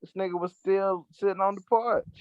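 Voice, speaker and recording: A man's voice: sits high, steady tone, a little fast, dry recording, dull audio. A young man from the American South with a Southern accent, co-hosting a podcast and joking bluntly. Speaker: podcast co-host. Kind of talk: casual chat.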